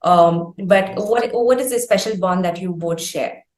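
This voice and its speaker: A woman with an Indian accent hosting an interview, putting formally worded questions in a measured, halting way. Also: on the low side, darkish tone. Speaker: interviewer, host. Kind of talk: conversational interview. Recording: roomy, echoey sound.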